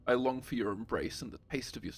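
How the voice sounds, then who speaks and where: A man voicing a tender, lovesick letter writer with a soft, earnest, intimate way of reading. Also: medium pitch, quick pace, lightly acted. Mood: anxious longing.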